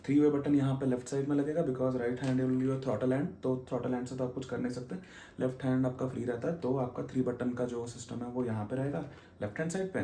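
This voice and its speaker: A man's voice: mid-range voice, fairly even intonation, brisk, mellow. A man with an Indian accent giving a casual, explanatory gear review as a vlogger, switching between Hindi and English mid-sentence. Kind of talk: casual unboxing and review talk. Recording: roomy echo, noticeable background noise.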